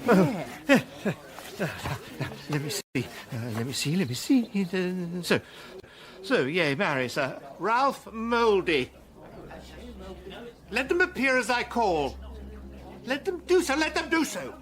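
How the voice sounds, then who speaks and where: An actor playing a dithering, eager old English country justice in a radio drama, chuckling and fussily repeating himself. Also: male voice, sits high, big pitch swings, slow pace, pauses often, full character voice. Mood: flustered, fussy. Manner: fussy, repetitive, chuckling. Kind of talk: classical radio drama acting. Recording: radio play with crowd sounds.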